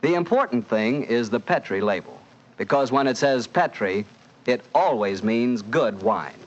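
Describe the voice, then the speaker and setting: A man's voice: mid-range voice, lively intonation, measured pace. An American man announcing and hosting in 1940s radio style, with a folksy, conversational sales manner. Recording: dull 1940s radio recording.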